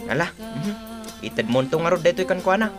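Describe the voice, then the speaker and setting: Actress as a low-voiced, animated Ilocano in-law. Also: female voice, fast, over music, natural voice. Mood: mildly scolding. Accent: Ilocano, northern Philippines. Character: visiting in-law calling out and grumbling about loud music. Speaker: radio drama actor. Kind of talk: Ilocano radio drama acting.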